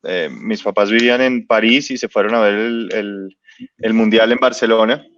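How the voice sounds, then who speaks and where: A man's voice: lowish voice, steady tone, moderate pace, a bit bright, dry recording, dull audio. A man in his late thirties, a business guest, telling his life story in a casual interview with many hesitations and restarts. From born in Spain, raised in Ecuador and Colombia. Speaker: guest entrepreneur.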